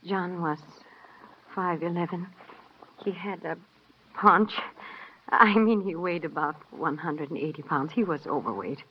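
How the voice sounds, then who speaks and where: Woman as flustered American widow for radio drama, lowish voice, defensive politeness, muffled vintage sound. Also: a little slow, pauses often, close to an everyday voice. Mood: hesitant. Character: flustered, guarded, mature recent widow. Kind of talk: 1950s radio drama acting. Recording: muffled old radio recording, faint noise.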